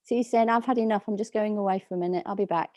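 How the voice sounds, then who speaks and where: A woman dog trainer giving slow, soothing instructions over a video call, with a calming voice. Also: medium pitch, no echo, studio quality. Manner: soft, slow, soothing coaching. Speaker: dog trainer leading a workshop.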